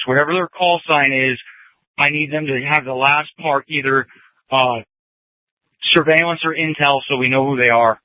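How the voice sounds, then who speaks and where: A man's voice: fairly high pitch, no echo, phone-quality sound. A man with a slightly raised voice giving instructions over a band-limited police radio. He is directive and spells out what he wants. The sound is close and dry.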